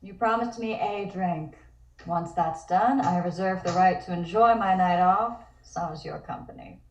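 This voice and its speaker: A woman acting a wry, guarded, sharp-tongued married woman in a period comic table read, with a dry, sardonic delivery. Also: fairly low pitch, a little slow, muffled recording, natural voice. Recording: roomy, distant microphone.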